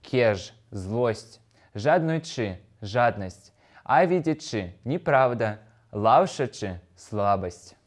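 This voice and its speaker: A man's voice: mid-range voice, animated, takes it slowly. A man with a mid-range voice delivers scripted educational narration. He explains things like a teacher, speaking directly to his pupils in prepared sentences. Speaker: language lesson presenter.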